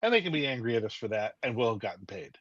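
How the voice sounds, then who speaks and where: A man's voice: medium pitch, very animated, rapid-fire, fairly bright tone, dry recording. A man being interviewed as a writer, telling stories in a thoughtful, wry way with some restarts, over a remote call. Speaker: writer guest. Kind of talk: conversational interview. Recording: dull dry lo-fi audio.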